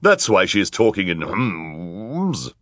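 A man voicing a crooked, villainous woman judge in an audiobook, with a low, deep, accusing voice. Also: wildly swooping pitch, takes it slowly, a bit bright, no room sound, studio quality, heavily acted. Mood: sly, accusing.

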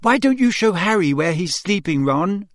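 A man's voice: high-pitched, expressive, moderate pace, no echo, studio quality, voice pushed up. A man voicing a pointed, prompting teenage girl in an audiobook. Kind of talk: audiobook character voice.

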